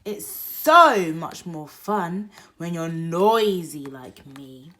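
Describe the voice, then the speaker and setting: A woman reading a picture book aloud for toddlers, expressive, moving between hushed whispers and loud exclaims. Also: lowish voice, extremely slow. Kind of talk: read-aloud bedtime story for toddlers.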